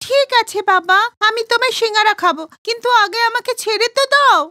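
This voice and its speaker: A woman doing a shrill scolding village woman. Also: fairly quick, bright, forceful tone, no echo, studio quality. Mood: pleading. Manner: shrieking, scolding. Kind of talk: Bengali cartoon voice acting.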